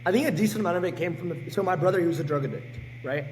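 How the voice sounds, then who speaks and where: A man in his late teens with a hoarse, sick voice, talking bluntly and energetically as a podcast guest. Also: high-pitched, racing, long reverb tail, background noise. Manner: energetic and blunt, swears freely. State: hoarse, sick with a sore throat. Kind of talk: casual podcast chat.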